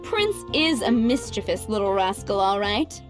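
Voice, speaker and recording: A woman voicing a sweet, doting young female cat owner in a video game, with a fond, expressive manner. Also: mid-range voice, moderate pace, music in the background, close to an everyday voice. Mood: amused. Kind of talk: video game character acting.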